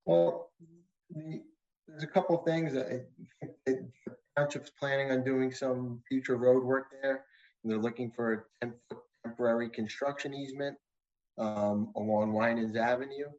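A man advising a zoning board on a video call, explaining in a measured, hedging way with frequent restarts. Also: medium pitch, fairly even intonation, a little mellow. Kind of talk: explaining at a public meeting. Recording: muffled video-call audio.

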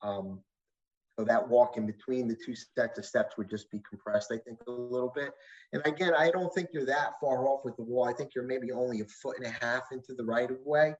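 A man advising a zoning board on a video call, explaining in a measured, hedging way with frequent restarts. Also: medium pitch, fairly even intonation. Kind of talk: explaining at a public meeting. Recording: muffled video-call audio.

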